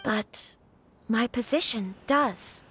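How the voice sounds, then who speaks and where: An actress playing a gentle young noblewoman who speaks softly and formally, with hesitation. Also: fairly high pitch, slow. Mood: worried. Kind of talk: game voice acting.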